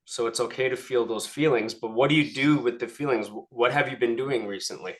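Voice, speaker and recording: A man's voice: mid-range voice, fairly quick. Reassuring man leading a coaching session. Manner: reassuring, conversational, often adding "right?" and "like". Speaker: life coach. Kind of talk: guided group coaching session.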